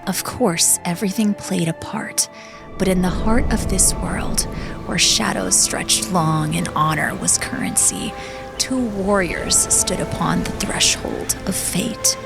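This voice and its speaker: A woman's voice: fairly low pitch, takes it slowly, creaky, over loud music. A woman narrating an audiobook in a solemn, poetic, dramatic style.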